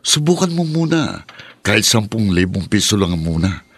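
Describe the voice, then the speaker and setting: A man's voice: lowish voice, big pitch swings, normal speed, a bit bright, breathy edge, dry recording, full character voice. An actor playing a pushy, scheming Filipino man in a radio drama, insistent and persuasive. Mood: coaxing, impatient. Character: pushy, scheming Filipino friend urging a gamble. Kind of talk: Tagalog radio drama acting.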